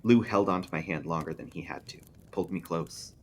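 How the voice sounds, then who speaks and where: A man reading a creepy story aloud as an expressive scripted narration. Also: deep voice, brisk, slight background noise.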